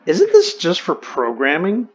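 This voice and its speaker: A man's voice: fairly high pitch, unhurried, breathy edge. Dull lo-fi casual guy chatting. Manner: animated reactions, casual swearing, hesitant restarts. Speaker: host. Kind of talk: casual chat, storytelling. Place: online voice chat in a VR social game. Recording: dull lo-fi voice-chat audio.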